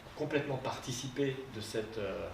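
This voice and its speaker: A man's voice: medium pitch, normal speed, vocal fry, background noise. A man on a panel discussing theatre, hesitant, with many fillers and restarts. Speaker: translator. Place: theatre hall. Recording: roomy hall mic from a distance.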